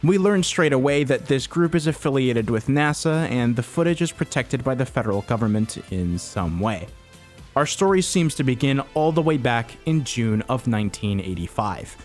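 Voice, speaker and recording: A man narrating a scripted explainer as a video essayist, telling the story in a measured way with wry, informal asides. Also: mid-range voice, lively intonation, a little mellow. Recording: music bed behind the voice.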